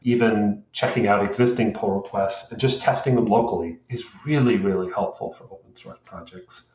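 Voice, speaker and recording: A man's voice: lowish voice, moderate pace, a little mellow. A man being interviewed as a guest, explaining his views thoughtfully and steadily in casual conversation. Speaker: guest, open source project co-lead. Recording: roomy, distant, dull sound.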